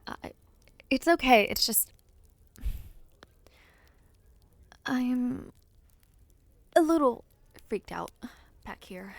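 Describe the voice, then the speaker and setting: Woman acting a slow, creaky-voiced young noblewoman. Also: on the high side, dry recording. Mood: flustered, anxious. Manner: soft, breathy, hesitant, with sighs and murmurs. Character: affectionate young noblewoman, playful but nervous before her debut. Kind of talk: intimate audio roleplay acting, addressing the listener.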